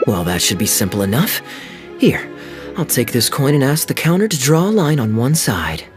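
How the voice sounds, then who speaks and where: A sly young nobleman, played by a man in a game cutscene, teasing and playfully bossy. His mid-range voice moves with lively, expressive swings of pitch. He sounds charming and mischievous, always a step ahead of the others. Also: normal speed, soft background music, lightly acted. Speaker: wealthy visitor at a tea house.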